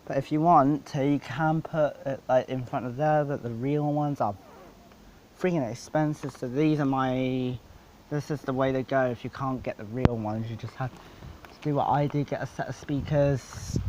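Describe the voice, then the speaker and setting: A man giving a casual, unscripted equipment walkthrough as a DJ, rambling and halting, with informal, blunt wording. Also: mid-range voice, steady tone, a little mellow, a little hiss or hum, poor audio. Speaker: DJ presenting his PA setup.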